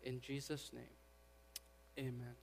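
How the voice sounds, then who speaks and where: A man from Guyana with a Guyanese accent, preaching a sermon to his congregation and swinging between quiet prayer and loud, emphatic preaching. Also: medium pitch, flat delivery, takes it slowly, vocal fry, a little hiss or hum. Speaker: pastor.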